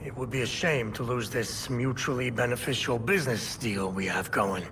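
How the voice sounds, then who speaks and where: An actor playing a slippery, nervous crime boss in a video game, ingratiating and wheedling with false geniality. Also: male voice, medium pitch, moderate pace, distant mic, background noise, lightly acted. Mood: pleading, wheedling. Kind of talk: video game cutscene acting.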